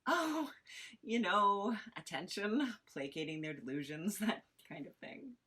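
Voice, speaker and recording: A woman playing a ditzy, doting podcaster in a comedy read-through, hesitant, apologetic and cutesy, with a husky voice. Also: mid-range voice, lively intonation, a little slow, sharp tone, exaggerated voice. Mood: sheepish, flippant. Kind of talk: informal script read-through of audio comedy.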